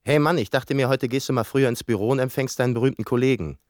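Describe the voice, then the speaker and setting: A man's voice: medium pitch, quick pace, a little mellow, no echo, studio quality, natural voice. An actor playing a breezy young male office colleague making casual, nosy banter in a radio drama. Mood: breezy, curious.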